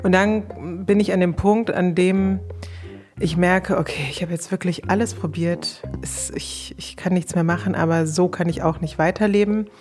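Low-voiced woman recounting her own experience. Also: steady tone, moderate pace, darkish tone, faint music behind. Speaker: interviewee telling her own story. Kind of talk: casual personal recollection.

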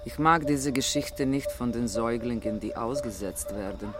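An actor plays an eerie male stranger by a river in a radio drama. His mid-pitched voice is terse, cryptic and brooding, with music clearly behind it. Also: fairly even intonation, moderate pace, close to an everyday voice. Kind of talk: dramatic German radio play acting.

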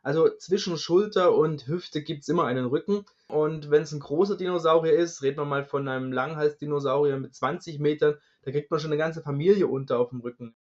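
Higher-voiced man explaining, dull recording. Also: fairly quick, hardly any pauses. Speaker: dinosaur expert guest. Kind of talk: informal expert explanation. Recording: dull, band-limited sound.

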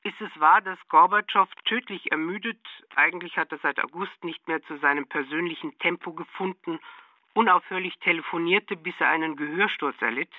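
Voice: medium pitch, brisk, dry recording, lightly acted. An actor playing a probing interviewer in a scripted radio play, putting short, insistent questions over a narrow band.

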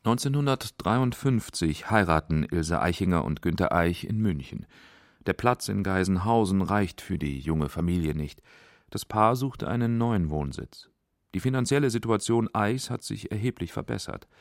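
A man's voice: deep voice, expressive, moderate pace, no echo, clean studio sound. A man narrating a scripted radio feature as its documentary narrator.

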